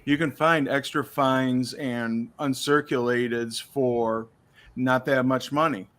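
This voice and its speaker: Man losing his voice, steady explainer. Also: mid-range voice, slow. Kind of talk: casual chat about coins.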